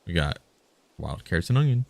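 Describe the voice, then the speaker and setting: A man live streaming a text game, musing out loud in short casual phrases and sometimes reading the game text. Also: sits low, sing-song, brisk, vocal fry. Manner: musing, muttered short phrases, thinking aloud.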